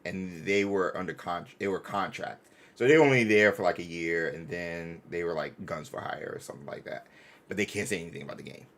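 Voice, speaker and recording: A man's voice: low voice, a little fast, a bit bright. A man co-hosting a casual live stream, chatting playfully with viewers and often repeating his phrases. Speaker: co-host of a fan live stream.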